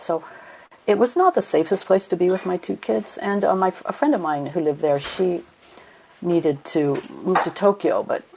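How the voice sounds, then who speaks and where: A woman's voice: low voice, gravelly, a little mellow, a little hiss or hum, rough recording. A middle-aged woman, a tour leader, telling rambling anecdotes in a casual chat and laughing along. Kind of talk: casual storytelling.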